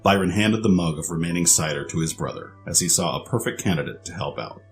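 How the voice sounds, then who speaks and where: A man's voice: sits low, lively intonation, quick pace, soft background music. A man narrating a scripted story for an audio drama.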